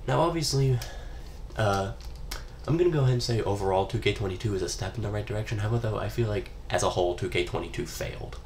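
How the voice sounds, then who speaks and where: A young man talks through a head cold, his voice low and stuffed up. He rambles offhand about a game, loose and casual with the odd swear. A faint hiss sits under the recording. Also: quick pace, a little mellow. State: congested from a cold. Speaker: gaming YouTuber. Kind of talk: casual solo gaming commentary.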